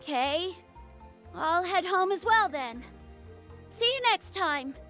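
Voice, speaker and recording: A woman playing an excitable, proud young child who is eager to impress, a childlike video game character. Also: extremely high pitch, takes it slowly, faint music behind, heavily acted. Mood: subdued.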